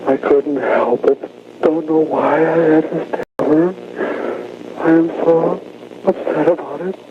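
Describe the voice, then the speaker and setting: A crying man with a whiny, weepy voice pleads and rambles in remorse. His voice comes through a tinny old phone line. A loud hiss runs behind him. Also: fairly high pitch, fairly even intonation, slow pace. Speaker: anonymous caller to emergency line.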